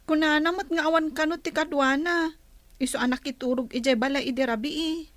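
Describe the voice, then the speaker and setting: A woman's voice: high-pitched, fairly even intonation, brisk, fairly bright tone, no echo. A woman playing an earnest, easily hurt teenage daughter in a radio drama, with an Ilocano accent. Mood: defensive.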